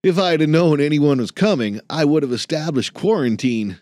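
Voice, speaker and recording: Male narrator doing an anxious young student, high, apologetic, lively. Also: normal speed, no echo, studio quality, natural voice. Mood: nervous. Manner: hesitant, apologetic. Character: nervous young male work-study student, eager.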